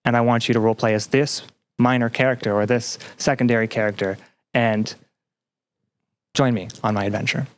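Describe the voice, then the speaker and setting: A man answering as a podcast guest in a conversational, explanatory way, often pausing to search for words. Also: medium pitch, steady tone. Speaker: podcast guest, student game developer.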